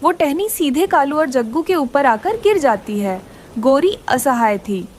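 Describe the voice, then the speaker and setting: Woman storyteller for a kids cartoon, high voice, scripted narration, slight background noise. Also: expressive, unhurried.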